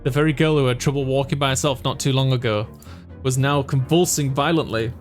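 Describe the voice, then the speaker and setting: A man's voice: mid-range voice, fairly even intonation, fairly quick, soft background music. A man reading a visual novel aloud as a let's player, in dramatic story narration.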